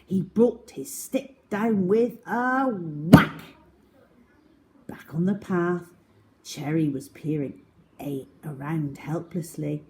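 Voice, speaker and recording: A woman narrating a children's story in an expressive, scripted read-aloud style. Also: on the low side, takes it slowly, slight background noise, poor audio.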